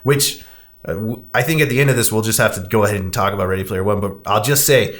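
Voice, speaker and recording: A man's voice: on the low side, fast talker, fairly bright tone. A man hosting a podcast, talking through a movie in an informal, rambling casual review style. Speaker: podcast host.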